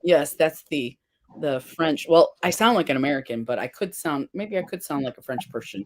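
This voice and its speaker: A woman's voice: sits low, animated, moderate pace, background noise. A woman hosting a casual livestream, chatting and reading viewer comments aloud. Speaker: livestream face paint host.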